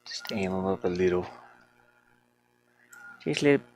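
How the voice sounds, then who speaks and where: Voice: low-pitched, expressive, moderate pace, darkish tone. A game developer talking casually to themselves while they work, muttering with playful asides. The speaker's voice could be a man's or a woman's.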